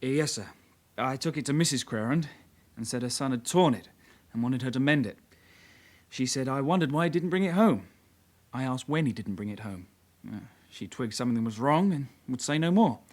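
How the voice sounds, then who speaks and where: An actor playing a polite, slightly hesitant young detective constable in a naturalistic radio drama. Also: male voice, mid-range voice, expressive, brisk, darkish tone, close to an everyday voice. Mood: dutiful. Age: young adult. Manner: courteous, hesitant, matter-of-fact.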